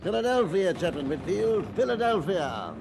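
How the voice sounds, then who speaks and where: Commanding ship's captain, gravelly, highish and animated, loud shipboard bustle behind. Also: male voice, moderate pace, crowd noise, exaggerated voice. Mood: hearty. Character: hearty, commanding male sea captain. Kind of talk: radio drama acting.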